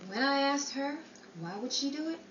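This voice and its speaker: A woman's voice: sits high, lively intonation, normal speed, fairly bright tone. A woman playing a world-weary, streetwise narrator of a 1930s underworld tale, in dramatic old-time radio style. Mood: wry, ominous. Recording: echoey, distant mic, dull, faint hiss.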